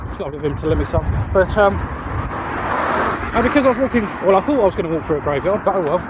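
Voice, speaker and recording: A man's voice: high-pitched, lively intonation, rapid-fire. Muffled outdoor clip w traffic noise, southeast english guy rambling casually to camera while walking, bit hesitant. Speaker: vlog host. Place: outdoors walking along roads. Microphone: handheld external microphone.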